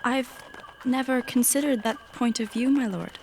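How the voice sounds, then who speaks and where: A woman's voice: on the high side, steady tone, normal speed, darkish tone, slight background noise, lightly acted. Woman playing a demure young noblewoman. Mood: demure, polite. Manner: formal, demure, careful. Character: polite, guarded young noblewoman, a betrothed bride. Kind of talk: fantasy audio drama acting.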